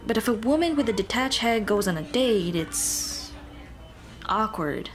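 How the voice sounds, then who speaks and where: A woman playing a shy, earnest Dullahan schoolteacher in an ASMR roleplay, speaking softly and expressively. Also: mid-range voice, expressive, unhurried, soft background music, natural voice. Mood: self-conscious. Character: shy, earnest female Dullahan teacher, headless monster girl on a date.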